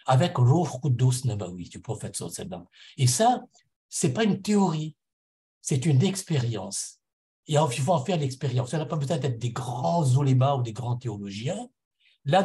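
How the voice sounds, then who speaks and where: Mellow-voiced man musing earnestly. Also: mid-range voice. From Réunion island. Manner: searching, hesitant, restarts and repeats words; earnest, softly reflective. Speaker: conference panel speaker. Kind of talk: personal spiritual testimony at a conference.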